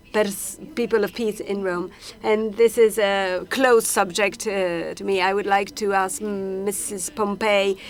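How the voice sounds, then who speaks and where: A woman interpreting a panel discussion simultaneously, halting often with hesitations and restarts as she renders each speaker. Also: mid-range voice, vocal fry. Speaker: conference interpreter.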